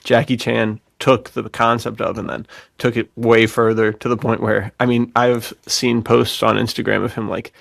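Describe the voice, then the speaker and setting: Casual, slangy man with gravelly creak. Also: medium pitch, little pitch movement, normal speed, no room sound, studio quality. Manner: slangy, enthusiastic, frequent chuckles. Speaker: podcast co-host. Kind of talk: casual podcast chat.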